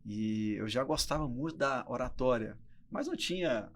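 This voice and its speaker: A man's voice: medium pitch, animated, normal speed. A Brazilian man in his early forties talking casually as a guest entrepreneur on a podcast. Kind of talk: casual conversational interview talk.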